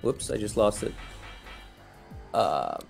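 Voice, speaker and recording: A man's voice: fairly low pitch, expressive, takes it slowly, gravelly. Casual male streamer, music behind him. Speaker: live stream host. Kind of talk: casual chat answering viewer comments. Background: background music.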